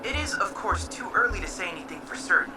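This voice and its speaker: A woman voicing a composed, analytical scholar in a game, explaining in precise, formal, measured speech. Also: mid-range voice, animated, distant mic, loud music behind the voice, close to an everyday voice. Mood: thoughtful. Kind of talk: video game cutscene acting.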